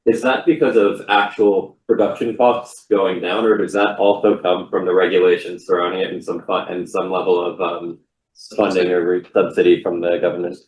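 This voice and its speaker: A man's voice: low-pitched, little pitch movement, normal speed, darkish tone, echoey room, dull audio, a little hiss or hum. A male student asking a question in a class Q&A over a video call.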